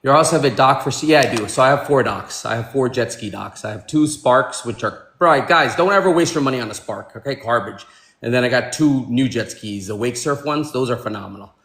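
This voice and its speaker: A man's voice: medium pitch, a little fast, creaky, a bit bright, reverberant. A man in his forties, born in Pakistan, hosting a casual livestream chat from a room at home, calling people bro and my man. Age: 40s. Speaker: livestream host.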